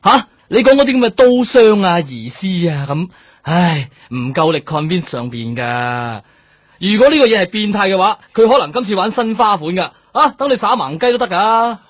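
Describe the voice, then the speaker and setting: A man's voice: sits high, expressive, normal speed, no echo. A man with a Hong Kong Cantonese accent playing a blunt, exasperated police superior in a radio drama. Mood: scolding. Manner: colloquial, sighing, scolding.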